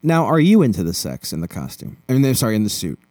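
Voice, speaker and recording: Animated man hosting a casual chat. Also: on the low side, quick pace, no echo. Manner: teasing, joking questioning. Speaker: podcast host interviewing a guest.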